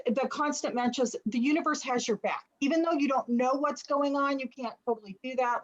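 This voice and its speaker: Woman, fairly high voice, reassuring tarot reader, conversational, slightly muffled video call. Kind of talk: casual, conversational card reading.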